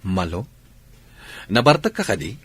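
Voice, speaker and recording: Low-voiced easygoing young lover. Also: male voice, very animated, measured pace, a bit bright, slightly rough, heavily acted. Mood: concerned, yielding. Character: easygoing, affectionate young lover, easily swayed. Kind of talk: Filipino radio drama acting. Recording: radio drama with music underneath.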